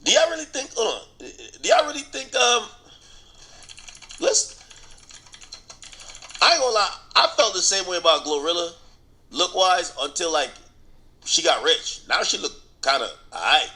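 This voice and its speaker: Bright-voiced man, blunt gossip commentator. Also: sits high, animated, a little slow, pauses often. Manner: blunt, slangy, repeats phrases for emphasis. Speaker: online commentator and host. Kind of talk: casual commentary on celebrity gossip.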